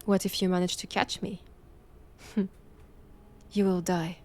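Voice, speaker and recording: A woman's voice: lowish voice, level tone, darkish tone, slight background noise. A woman with a French accent playing a stern, righteous vampire-hunting nun in a roleplay audio drama, speaking in a formal, commanding manner. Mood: menacing, cold. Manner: slow, deliberate, formal, commanding.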